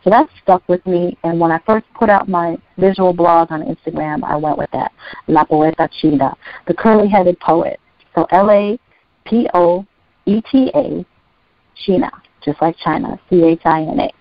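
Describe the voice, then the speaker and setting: A woman with a soothing, relaxing voice, pitched a little low, chats casually as a guest. She rambles a bit, with fillers and restarts. The recording is dry and dull, lacking brightness. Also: normal speed, dry recording. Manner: chatty, rambling with fillers and self-corrections. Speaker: poet, guest. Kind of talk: casual interview chat.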